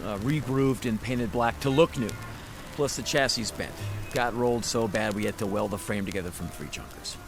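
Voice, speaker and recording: A man's voice: lowish voice, normal speed, over music, natural voice. An actor playing a shady, wisecracking used-car salesman in a radio drama, flustered by his own honesty. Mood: blunt, scornful. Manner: folksy, wisecracking, colloquial patter.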